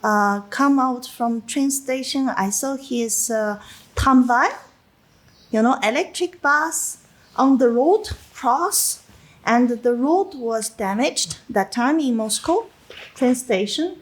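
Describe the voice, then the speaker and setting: A middle-aged Chinese woman, Chinese her native language, speaking English with a Chinese accent, recalling her youth in an interview in halting phrases with many fillers and small laughs. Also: fairly high pitch, animated, low-quality recording. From China. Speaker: interviewee, winemaker. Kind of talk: personal reminiscence in an interview.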